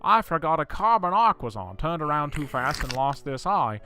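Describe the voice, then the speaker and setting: A man's voice: a little high, very animated, moderate pace, bright, forceful tone. A man playing an older, absent-minded professor in a horror audio drama, mild and matter-of-fact in manner. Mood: eerily chatty.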